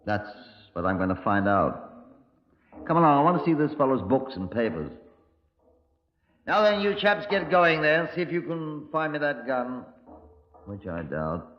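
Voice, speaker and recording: An actor playing a brusque, probing Scotland Yard chief inspector with a British accent, clipped and dryly sardonic, in 1950s radio crime drama style. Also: male voice, mid-range voice, sing-song, moderate pace, long pauses, close to an everyday voice. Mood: suspicious, brusque. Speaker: police chief inspector and narrator. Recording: muffled, echoey old 1950s radio recording.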